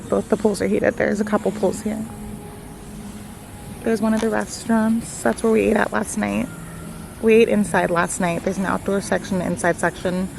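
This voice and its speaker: A young woman vlogging on her phone outdoors at a beach resort, her voice raspy from being sick, talking to viewers in a chatty way with lots of "like". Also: medium pitch. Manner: chatty, lots of "like", talks to viewers as "you guys". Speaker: vlogger, nursing student. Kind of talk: casual vlog narration. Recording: roomy outdoor sound with loud insect noise. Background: crickets, insects chirping.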